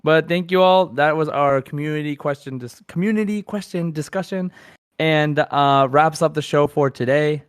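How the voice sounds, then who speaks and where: A man's voice: fairly high pitch, normal speed, clean tone, no room sound, studio quality. A man hosting a podcast, chatting casually and reading out listener comments in an easygoing way. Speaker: podcast host.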